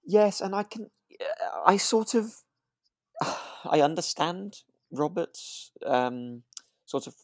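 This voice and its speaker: A man co-hosting a podcast in a relaxed conversational style, hesitant and often rephrasing as he goes. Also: sits high, takes it slowly, dry recording, clean studio sound. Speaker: podcast co-host. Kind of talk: relaxed conversational discussion of a radio drama.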